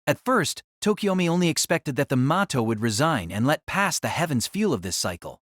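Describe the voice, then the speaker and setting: Male narrator for dramatic novel narration, slightly high register, close dry recording. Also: very animated, a little fast, a bit bright, dry recording.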